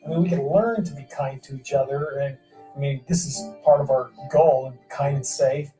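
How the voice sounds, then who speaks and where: Low-voiced woman in a distant TV clip. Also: moderate pace, over loud music. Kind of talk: reality TV clip.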